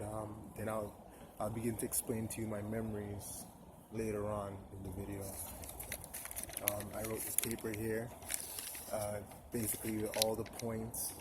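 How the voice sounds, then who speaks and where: A man in his twenties talks to camera, stopping and restarting often as he searches for words. His voice sits low and barely rises or falls. Traffic and outdoor noise run clearly under the whole recording. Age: 20s. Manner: halting, full of pauses and restarts. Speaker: vlogger. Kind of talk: personal spiritual monologue to camera.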